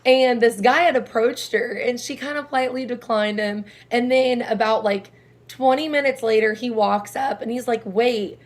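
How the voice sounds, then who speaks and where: A young woman with a raspy speaking voice, though her pitch sits a little high for a woman. She answers interview questions in a chatty, rambling way, often laughing between her thoughts. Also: steady tone, normal speed. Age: young adult. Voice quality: low raspy voice. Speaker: singer-songwriter guest.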